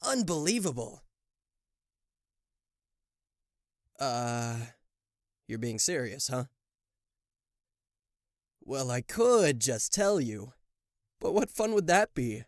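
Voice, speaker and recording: A man's voice: mid-range voice, big pitch swings, slow, pauses often, no room sound, studio quality. A man voicing a theatrical, sarcastic demon king of hell in a roleplay audio drama, proud and showy in manner. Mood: exasperated, mocking. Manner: sarcastic, showy, stammering in disbelief. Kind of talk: roleplay audio drama acting addressed to the listener.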